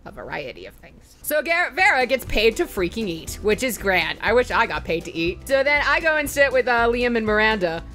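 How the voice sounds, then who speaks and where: A woman with a fairly high, very bright voice hosts a casual game recap. She is chatty and sweary, joking her way through the story. Music plays behind her. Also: sing-song, fairly quick, hardly any pauses. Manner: chatty, sweary, with playful mock voices for quotes. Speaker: let's play host.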